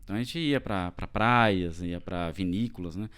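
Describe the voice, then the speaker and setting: Brazilian man, podcast guest, very low voice, rambling with fillers. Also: normal speed, a bit bright, clear, resonant tone. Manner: rambling, many fillers and tag questions. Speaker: podcast guest, tax executive. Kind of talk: casual interview chat.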